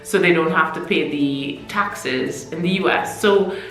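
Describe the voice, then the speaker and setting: A woman's voice: deep voice, measured pace, a bit bright, roomy sound, soft background music, rough recording. A young woman with a Caribbean accent that mixes Trinidadian and Barbadian, talking to camera in a chatty, candid vlog. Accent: Caribbean mix of Trinidadian and Barbadian. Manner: chatty, candid, self-deprecating. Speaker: vlogger. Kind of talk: casual talking-head vlog. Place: room in Mexico City.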